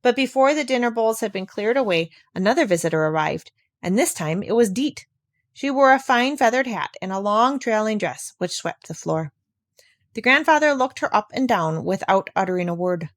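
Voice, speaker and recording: A woman's voice: mid-range voice, expressive, normal speed, dry recording. Dry-recorded woman reading a storybook. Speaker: audiobook narrator. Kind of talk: scripted storybook narration, read aloud.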